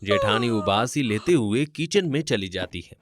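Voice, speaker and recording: A man's voice: mid-range voice, big pitch swings, moderate pace, no room sound, clean studio sound, soft background music. A man narrating a scripted family story in a theatrical, rhetorical style.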